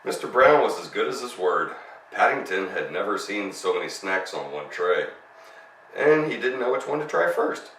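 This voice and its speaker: A man's voice: low voice, sing-song, normal speed, fairly bright tone. A man narrating a children's story as a storyteller, reading expressively. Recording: roomy, distant mic, faint noise.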